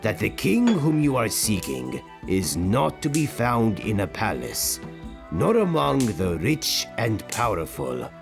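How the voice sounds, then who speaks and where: Slow, creaky old rabbi. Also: male voice, on the low side, huge pitch swings, music in the background, exaggerated voice. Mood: grave, reassuring. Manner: slow, gentle, oracular. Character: venerable old Hebrew rabbi, wise and kindly. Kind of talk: dramatic audio drama acting.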